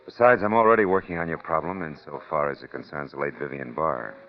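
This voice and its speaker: A man's voice: extremely low pitch, fast. A man acting a hard-boiled, sardonic private detective in a 1940s-style radio drama, with a wry, clipped delivery. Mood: cool, probing. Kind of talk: 1940s radio drama acting, with noir first-person narration. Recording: dull vintage radio recording with loud music.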